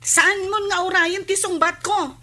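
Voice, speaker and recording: A woman's voice: very high-pitched, steady tone, measured pace, sharp tone, exaggerated voice. An actress with an Ilocano accent playing a pert, indignant young woman being courted in a comedy radio drama.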